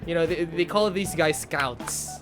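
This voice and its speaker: A man's voice: fairly high pitch, a little slow. A young man giving casual live game commentary into a close microphone, rambling and chatty, often repeating himself. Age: young adult. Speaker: game streamer. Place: indoor streaming setup. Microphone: close desk microphone, headset on. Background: game music.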